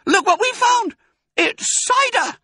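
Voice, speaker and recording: Tiny high-pitched fox cub. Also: male voice, brisk, bright, forceful tone, dry recording, studio quality, heavily acted. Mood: thrilled. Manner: breathless wide-eyed exclaiming. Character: small excitable young fox cub, boy, eager. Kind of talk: animated children's storybook character reading.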